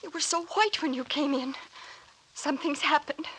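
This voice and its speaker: A woman's voice: sits high, moderate pace, a bit bright, slight background noise, poor audio. An actress playing a frightened, insistent young woman in an old-time radio serial, pleading in a breathless, melodramatic way. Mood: pleading insistence.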